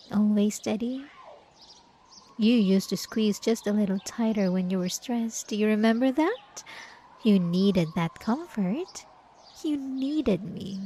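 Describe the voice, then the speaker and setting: A woman's voice: mid-range voice, mellow. A woman playing an obsessive, gaslighting ex-girlfriend in an ASMR roleplay, coaxing with condescending, cloying sweetness. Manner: coaxing, cooing, condescending pet names.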